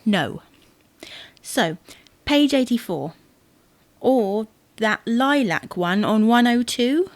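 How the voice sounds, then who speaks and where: A woman's voice: fairly high pitch, expressive, slow pace, long pauses, no echo. A woman playing a fussy, exasperated wife who bickers insistently in a domestic audio sitcom. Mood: impatient. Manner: bickering, insistent, theatrically indignant. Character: fussy, exasperated wife fretting over wedding clothes.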